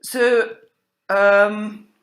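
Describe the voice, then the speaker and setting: A woman giving an academic lecture as a university lecturer, speaking haltingly and thinking aloud. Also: medium pitch, level tone. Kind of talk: academic lecture on medieval drama. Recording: roomy, echoing sound.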